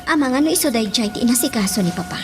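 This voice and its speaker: A woman acting a gentle, troubled daughter talking to her mother in an Ilocano radio drama. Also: a little high, expressive, rapid-fire, music in the background, lightly acted. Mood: concerned.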